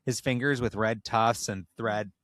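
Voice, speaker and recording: A man reading comedic erotic fiction aloud with expressive, story-like narration, as a podcast host. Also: mid-range voice, a little slow, dry recording, clean studio sound.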